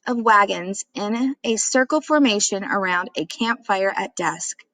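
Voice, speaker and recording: A woman's voice: mid-range voice, unhurried, fairly bright tone, no echo, low-quality recording. A woman voicing a chatty, self-mocking dad who tells a childhood story dramatically in a storybook read-aloud. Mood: eager, boastful.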